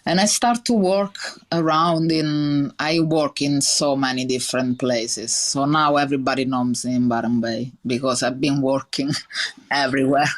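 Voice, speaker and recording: A woman's voice: a bass voice, fairly even intonation, moderate pace. A woman in her thirties with a strong Italian accent, speaking earnestly in a podcast interview, with many fillers and restarts. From Italy. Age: 30s. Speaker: chef guest.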